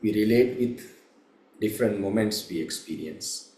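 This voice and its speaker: Male meditation instructor, lowish pitch, very slow pace, halting short phrases, long pauses, echoey room. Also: mellow. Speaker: meditation teacher. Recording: roomy, echoing room sound.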